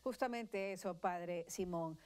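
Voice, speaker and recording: A woman in her thirties, a news anchor in a TV studio, speaking in a formal, measured way. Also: lowish voice, a little mellow. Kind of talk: TV news interview.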